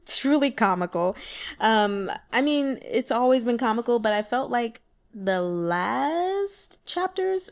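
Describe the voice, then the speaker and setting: A woman talking casually through her notes on a book, in a chatty, giggly and animated manner. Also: fairly high pitch. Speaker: audiobook reader and book commentator.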